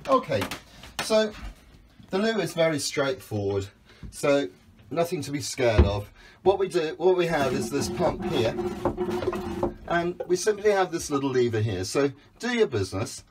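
Easygoing middle-aged skipper showing a yacht. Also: male voice, fairly high pitch, big pitch swings, measured pace, background noise. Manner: easygoing, practical, explaining step by step. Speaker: sailing school skipper giving a boat tour. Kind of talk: friendly walkthrough demonstration. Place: on and inside a small sailing yacht, outdoors then in the cabin. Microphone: handheld phone camera.

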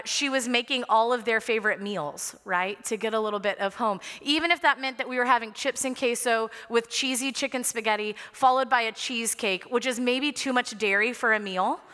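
A woman's voice: a little high, sharp tone. A woman, preaching a sermon from a church stage in an earnest manner with light humor. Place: large church sanctuary stage. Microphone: headset mic. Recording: long reverb of a large sanctuary.

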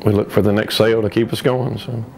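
A man's voice: lowish voice, level tone, quick pace, gravelly, darkish tone, a little hiss or hum. A man in his forties with a Southern US accent, an auctioneer talking in a documentary interview. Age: 40s. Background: guitar music.

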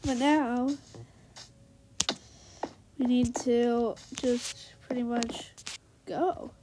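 A woman's voice: a little high, drawn-out words, noisy background. A young woman with a sick, nasal voice chatting casually to viewers over her gameplay. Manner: chatty, easily excited, talks to viewers. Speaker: Minecraft let's player.

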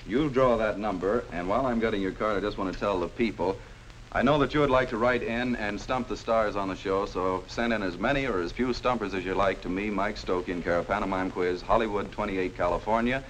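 A man's voice: on the low side, brisk, a bit bright, slight background noise, rough recording. A man in his thirties hosting a live TV game show, with breezy joking patter. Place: TV studio stage with audience.

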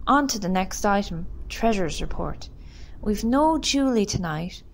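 A woman playing a brisk, businesslike Irish village committee chairperson in an amateur radio drama. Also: mid-range voice, lively intonation, creaky. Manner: brisk, matter-of-fact. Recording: noticeable background noise.

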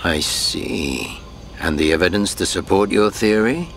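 An actor playing a shrewd, easygoing middle-aged mentor in a video game. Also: male voice, low-pitched, lively intonation, unhurried, gravelly, a bit bright, slight background noise, full character voice. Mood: probing. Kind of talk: video game cutscene acting.

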